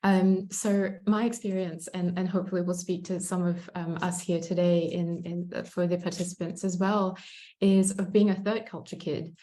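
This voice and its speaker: Mellow-voiced woman, thoughtful panelist. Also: on the low side, flat delivery. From Singapore. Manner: thoughtful, many pauses and ums. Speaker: mediator, panelist. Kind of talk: reflective panel talk.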